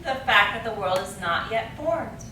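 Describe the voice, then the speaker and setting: A woman's voice: medium pitch, expressive, normal speed, lightly acted. A woman acting an emphatic, oracular chorus voice, declaiming theatrically in an absurdist stage play. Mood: declamatory. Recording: very echoey distant stage, noisy.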